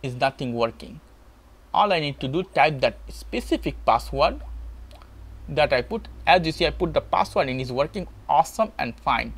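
A man's voice: a little high, expressive, moderate pace, a bit bright, slightly rough. A man hosting a step-by-step tech tutorial in short, simple phrases, with non-native English phrasing. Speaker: tutorial host.

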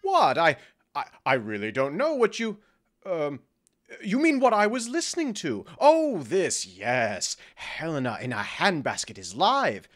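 A man's voice: a little high, huge pitch swings, measured pace, fairly bright tone, exaggerated voice. An actor playing a petulant fairy king bickering like a husband in a modern audio drama, dramatic and easily flustered. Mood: flustered, defensive.

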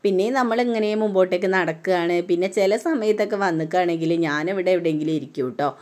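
A low-voiced woman from Kerala narrates a shopping trip in easy, chatty Malayalam-accented speech. She films herself strolling a big indoor mall on her phone, picking up the open sound of a busy store. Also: quick pace. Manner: chatty, tags sentences with a soft "tto". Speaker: vlogger, mother touring a mall with her daughter. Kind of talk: casual walk-around vlog narration. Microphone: phone camera held while walking.

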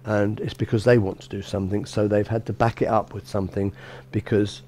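A middle-aged man, a bishop, gives a relaxed sermon in a small church, talking conversationally with frequent restarts and "you know". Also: on the low side, a little fast, slight background noise. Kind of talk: informal sermon.

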